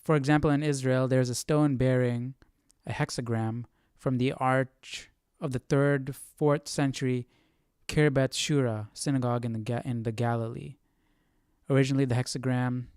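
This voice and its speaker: A man's voice: mid-range voice, fairly even intonation, unhurried, a little mellow, clean tone, no room sound. A man with a mid-range voice talks casually through a Bible study in a very dry recording. He wonders aloud, poses questions and moves between reading and informal comment. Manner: questioning, musing. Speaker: Bible study livestream host.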